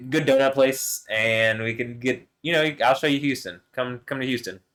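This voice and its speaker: A man's voice: normal speed, a bit bright. A man vlogging alone, rambling and swearing, often chuckling and trailing off mid-sentence. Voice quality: deep voice. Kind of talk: rambling solo monologue to camera.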